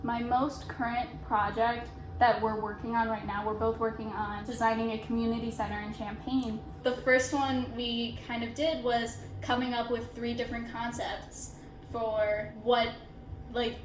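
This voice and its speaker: A woman's voice: fairly high pitch, flat delivery, measured pace, echoey room, background noise. A woman in her twenties, an architecture student, speaking reflectively in a casual interview with many filler words. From India, raised in Chicago suburbs. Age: 20s.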